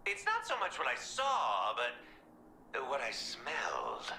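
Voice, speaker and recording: An actor voicing a manic, mocking psychopathic clown villain in a video game, with theatrical delivery. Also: male voice, medium pitch, wildly swooping pitch, takes it slowly, gravelly, sharp tone, roomy sound, a little hiss or hum, full character voice. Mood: theatrical scheming.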